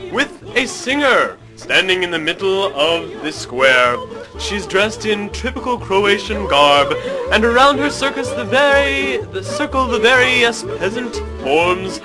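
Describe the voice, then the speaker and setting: High-voiced theatrical man narrating over music. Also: sing-song, slow. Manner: theatrical, mock-grand. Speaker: narrator and show host. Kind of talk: comic scripted storybook narration.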